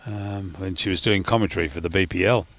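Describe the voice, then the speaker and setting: A man with an Australian accent doing relaxed, chatty live commentary on a bowls match, full of fillers and laughter. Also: sits low, fast talker. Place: outdoors at a bowls green.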